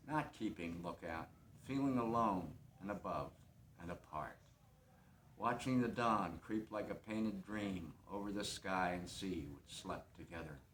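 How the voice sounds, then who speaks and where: An actor playing a brooding, poetic, melancholy young man in a theatrical dramatic monologue, delivered with lyrical intensity. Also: low-pitched. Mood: dreamy, hushed. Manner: lyrical, intense, swelling then hushed. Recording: echoey room with faint background noise.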